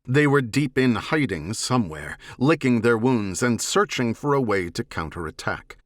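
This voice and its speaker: A man delivers scripted science fiction narration for an audiobook. His voice sits low, and his reading is serious, measured and dramatic. The recording is notably dry. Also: fairly bright tone, no echo.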